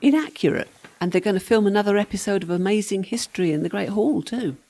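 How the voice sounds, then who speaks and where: A woman's voice: medium pitch, expressive, quick pace, dark tone. An actress playing the ghost of a serving girl in a radio play, practical and teasing, with a dry, matter-of-fact manner.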